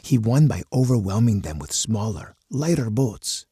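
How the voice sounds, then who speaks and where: An actor playing a hard, commanding older colonel in a strategy game, husky and gravelly, with stern, deliberate oratory. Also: male voice, lowish voice, sing-song, mellow, no echo, studio quality, heavily acted. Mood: grave lecturing. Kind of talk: dramatic scripted game character monologue.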